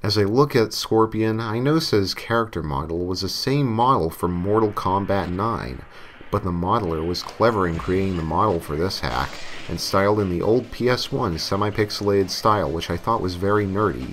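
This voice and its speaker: A man's voice: deep voice, animated, normal speed, fairly bright tone, slight background noise. A man narrating a scripted comic horror story in a theatrical way, with emphatic delivery. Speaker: creepypasta narrator. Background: music.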